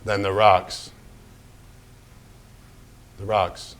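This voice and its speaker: An actor in a staged reading playing a brash, vulgar and troubled celebrated painter, with a raw, profane, halting delivery. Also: male voice, lowish voice, level tone, a bit bright. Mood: haunted, fearful. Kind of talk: theatrical staged reading, dramatic monologue.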